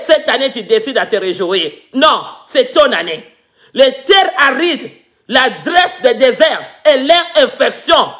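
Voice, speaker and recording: Male preacher whose first language is another, high and bright voice, fervent delivery. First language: a Congolese language. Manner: fervent preaching with shouted exclamations. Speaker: pastor. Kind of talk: sermon.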